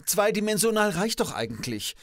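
A man's voice: high voice, big pitch swings, moderate pace, a bit bright, no room sound, studio quality. A man playing an old-fashioned, technology-skeptical professor in a scripted satirical dialogue, pedantic and theatrical. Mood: skeptical, nostalgic.

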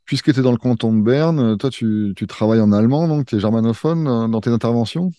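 A man hosting an interview over a video call, asking questions in a relaxed, conversational way. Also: medium pitch, brisk, no echo. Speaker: interview host. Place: room at home with colored lights, indoors.

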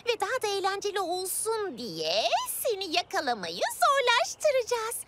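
A woman voicing a kind, adventurous young girl in a children's cartoon, speaking in an encouraging, expressive way. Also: extremely high pitch, moderate pace, very noisy, full character voice. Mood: playful, eager. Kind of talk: animated children's cartoon dubbing. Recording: music behind the voice.

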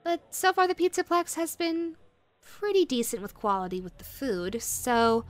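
A woman's voice: high voice, big pitch swings, moderate pace, faint music behind. A woman voicing a possessive, sweetly menacing yandere rabbit woman in a roleplay audio drama, coaxing and condescending in a saccharine way. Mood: sugary, patronising.

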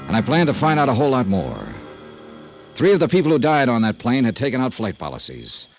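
An actor playing a tough, dogged investigator in a 1950s radio drama, terse and wry, in hardboiled style. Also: male voice, medium pitch, wildly swooping pitch, fairly quick, soft background music. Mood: brooding. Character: Johnny Dollar, tough, dogged male investigator. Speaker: lead actor and narrator. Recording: noisy old radio drama recording.

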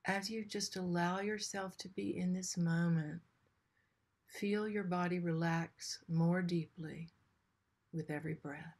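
A woman with a fairly low voice guides a meditation. She speaks very slowly and gently, in short phrases set apart by long pauses, keeping a soothing manner throughout. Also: fairly even intonation.

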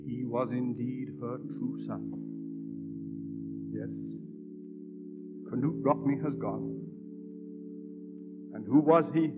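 A reverent clergyman giving a eulogy in a vintage radio play. He is measured and oratorical, medium in pitch, with music behind him on a dull recording. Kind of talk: solemn funeral eulogy in radio drama.

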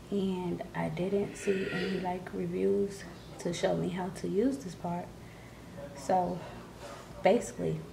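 A woman's voice: on the low side, gravelly. A woman in her thirties or forties keeping her voice down as she gives a casual vlog-style product demonstration from her living room. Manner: hushed, keeping voice down. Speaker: vlogger. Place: living room at home, indoors. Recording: noisy home camera recording.

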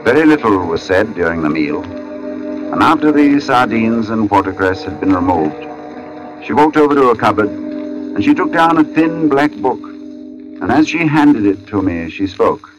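Low-voiced, grave artist telling his tale. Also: lively intonation, measured pace. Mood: puzzled, measured. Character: artist recounting an uncanny encounter, grave and measured. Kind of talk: dramatic first-person radio narration. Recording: dull old radio recording, music behind.

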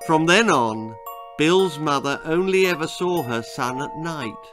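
Slow, older British male storyteller. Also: a little high, animated, over music. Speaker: author reading his own story. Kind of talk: dramatic children's story narration. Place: small indoor room lined with bookshelves.

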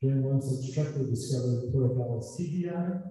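A man reading out a guest speaker's introduction, stumbling and clearing his throat. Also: medium pitch, a little fast, warm tone. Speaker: meeting MC. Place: large meeting room. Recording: echoey hall from far off.

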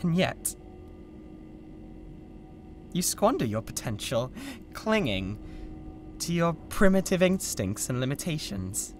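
A woman's voice: low voice, big pitch swings, slow pace, pauses often, a little hiss or hum, full character voice. A British woman playing an unhinged, condescending mad scientist with a god complex in a theatrical ASMR roleplay. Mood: contemptuous, menacing. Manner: theatrical, condescending, swinging between hushed and screaming. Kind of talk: dramatic ASMR roleplay monologue.

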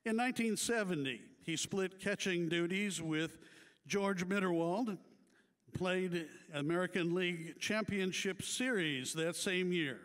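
A man reading prepared tributes as an announcer at a hall of fame banquet, in a measured, deliberate way with small playful asides. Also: high-pitched, a bit bright. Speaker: awards ceremony announcer.

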